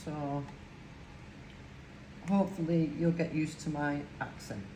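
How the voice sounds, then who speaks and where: A woman's voice: sits low, steady tone, slow. An older woman from Lancashire with a broad Lancashire accent, chatting casually at home while she crafts, rambling with many fillers. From Lancashire, England. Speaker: crafting vlogger. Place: home, indoors. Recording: echoey room with faint hiss.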